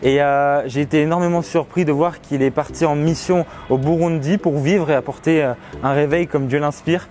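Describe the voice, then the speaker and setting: A man's voice: on the high side, steady tone, normal speed, few pauses, darkish tone, slight background noise. A man speaking French as an evangelist host, introducing a testimony to viewers. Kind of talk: spoken introduction to camera.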